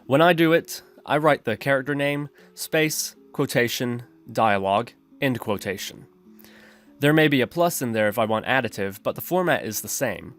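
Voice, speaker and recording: Male tutorial narrator, mid-pitched, methodical step-by-step delivery, music underneath. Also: lively intonation, moderate pace, fairly bright tone. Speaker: programming tutorial host. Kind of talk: instructional screencast narration. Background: background music.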